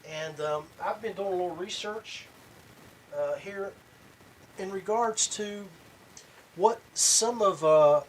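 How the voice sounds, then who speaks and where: Higher-pitched man hosting a podcast, background noise. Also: takes it slowly, pauses often, poor audio. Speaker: podcast host. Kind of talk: casual solo podcast talk.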